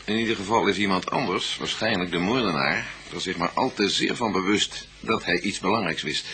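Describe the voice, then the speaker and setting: Methodical detective, noisy radio recording. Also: male voice, sits low, pushed and bright. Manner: deliberate, summing up facts. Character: methodical police detective, thoughtful and matter-of-fact. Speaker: radio play actor. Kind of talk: radio play dialogue.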